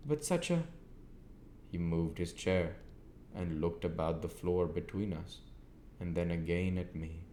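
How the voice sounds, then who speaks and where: A low-pitched man reads a classic novel aloud at a slow pace. His phrasing is deliberate and broken into short pieces. The room echoes around him. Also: level tone, warm tone. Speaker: audiobook reader. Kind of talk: scripted narration of a classic novel. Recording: echoey room with faint background noise.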